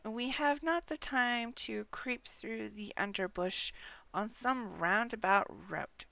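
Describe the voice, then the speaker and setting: A woman's voice: a little high, takes it slowly, creaky, bright, forceful tone, exaggerated voice. A woman voicing a blunt, impatient male warrior from a fantasy game, speaking in brusque declarations. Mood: impatient, scornful.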